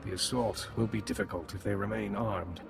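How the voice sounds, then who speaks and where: Very gravelly, grim alien squad leader. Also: male voice, on the low side, fairly even intonation, a little fast, in a large hall, over music. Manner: terse, solemn delivery. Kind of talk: video game voice acting.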